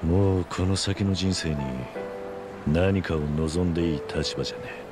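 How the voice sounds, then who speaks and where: A stoic, hardened yakuza in middle age , acted by a man for a video game. His voice sits low and he talks in terse, rough, masculine phrases. Dramatic music plays beneath him. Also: steady tone, moderate pace, close to an everyday voice. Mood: somber, resolute. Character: stoic, gruff middle-aged legendary male yakuza living in hiding.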